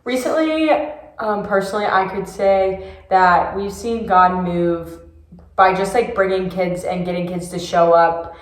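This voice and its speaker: A woman's voice: medium pitch, unhurried. A young woman, a youth ministry volunteer leader, answering interview questions in an earnest, enthusiastic way. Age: young adult. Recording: echoey room.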